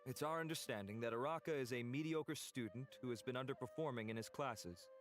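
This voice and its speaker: An actor playing a dutiful guard in a video game, giving a formal, factual report. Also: male voice, medium pitch, brisk, soft background music, natural voice.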